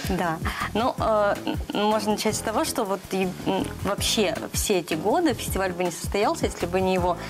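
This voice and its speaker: A woman in her thirties explaining things as a guest in a studio interview, in a halting, pause-filled delivery. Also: mid-range voice, music in the background. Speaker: guest, animation festival organizer. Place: TV studio, seated. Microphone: lapel mic.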